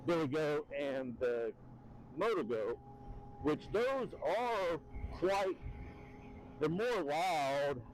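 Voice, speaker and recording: A man, rambling through a casual e-bike review with many fillers while riding outdoors. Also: a little mellow. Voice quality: very deep voice. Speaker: e-bike vlogger. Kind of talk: casual ride-along review. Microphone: helmet mic. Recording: wind-muffled helmet mic.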